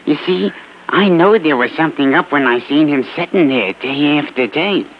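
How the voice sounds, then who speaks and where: An actor playing a shrewd, wheedling small-time American informant in slangy, ungrammatical speech on an old radio crime drama. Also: male voice, on the high side, lively intonation, normal speed. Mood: sly, wheedling. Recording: muffled old radio recording, faint noise.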